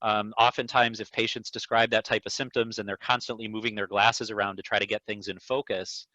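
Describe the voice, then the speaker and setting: A man speaking as an eye doctor, explaining things to a patient in a measured, careful way over a video call. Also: fairly low pitch, little pitch movement, pushed and bright, no echo. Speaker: neuro-ophthalmologist answering patient questions. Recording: dull, lo-fi video call audio.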